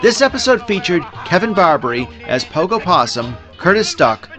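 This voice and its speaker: A man's voice: high voice, big pitch swings, normal speed, music in the background. A man reading scripted podcast credits as an announcer.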